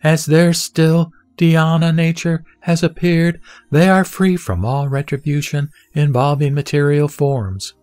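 A man giving a measured, solemn reading of a Buddhist scripture. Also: on the high side, steady tone, dry recording, clean studio sound. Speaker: sutra reciter. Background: soft background music.

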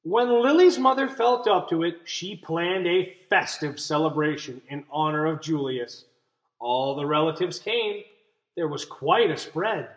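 A young man reading a children's picture book aloud as a teacher, expressively, pausing to explain and ask questions. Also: a little high, dull audio. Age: young adult. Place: small indoor room. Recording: roomy, echoey room sound.

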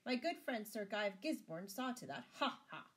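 A woman voicing a greedy, gloating medieval abbot who is quick to rage, in a storybook style. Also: sits high, big pitch swings, a bit bright, voice pushed up. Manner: chuckling, gloating, blustering. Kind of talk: storybook character voice.

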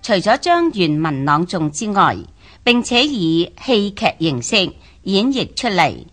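Female radio presenter, Hong Kong Cantonese, formal scripted intro, slow and melodic, soft music underneath. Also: mid-range voice, big pitch swings. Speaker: program presenter.

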